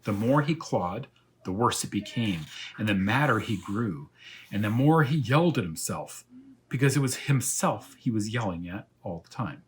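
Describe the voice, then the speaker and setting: A man reading a children's story aloud as a teacher of young pupils, in animated narration. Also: fairly low pitch, normal speed, slight background noise.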